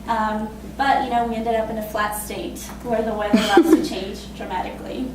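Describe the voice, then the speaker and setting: A woman in her twenties from Costa Rica, telling her own story in a casual, halting way with many fillers and laughs. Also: a little high, fairly even intonation, heavy reverb, recorded from a distance, background noise. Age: 20s. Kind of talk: personal spoken testimony.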